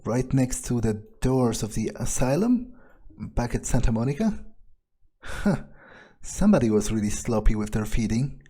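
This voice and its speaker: A man improvising in-character video game commentary, thinking aloud in a deadpan, callous way with pauses. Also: mid-range voice, husky. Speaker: gameplay player staying in character.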